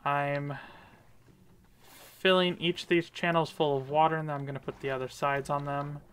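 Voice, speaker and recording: A man's voice: fairly high pitch, level tone, moderate pace, a bit bright, slight background noise. A man giving casual, rambling commentary over his gameplay, with lots of fillers. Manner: rambling, many fillers, upbeat. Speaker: gaming video creator.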